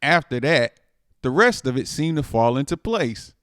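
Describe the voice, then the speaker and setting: Animated man reading a storybook aloud. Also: medium pitch, dry recording, studio quality. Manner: expressive, dramatic emphasis with drawn-out words. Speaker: storytime reader. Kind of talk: animated children's picture book read-aloud.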